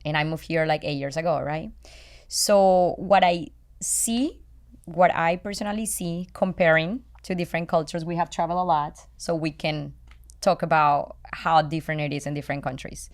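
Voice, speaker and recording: A woman's voice: deep voice, normal speed. A woman from Colombia with a Colombian accent, a native Spanish speaker, talking candidly and casually and repeating phrases for emphasis.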